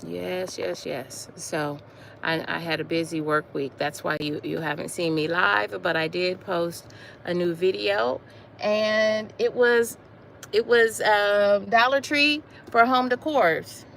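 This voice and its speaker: A woman in her forties chatting casually on a livestream while out walking, repeating herself warmly and calling her viewers y'all. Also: fairly low pitch, slow, vocal fry. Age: 40s. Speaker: livestream host. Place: outdoors on a walk, wind noise. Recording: faint wind noise.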